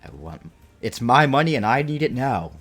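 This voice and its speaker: A man's voice: medium pitch, big pitch swings, normal speed, fairly bright tone, soft background music. A man in his late twenties chatting casually and playfully as he streams a game, rambling and interrupting himself. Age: late 20s. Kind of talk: casual live gaming commentary and chat.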